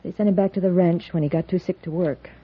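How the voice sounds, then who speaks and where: An actress playing a weary, dutiful, determined frontier doctor in an old radio western. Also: female voice, lowish voice, steady tone, fast, breathy edge. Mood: grave, urgent. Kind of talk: 1950s radio western acting. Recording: dull old radio recording.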